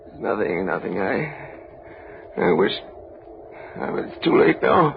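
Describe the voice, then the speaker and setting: An actor playing an emotional, regretful old miser in a 1930s radio drama, halting and repeating his words theatrically. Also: on the low side, very animated, gravelly, exaggerated voice. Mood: wistful, faltering. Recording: muffled, noisy 1930s radio recording.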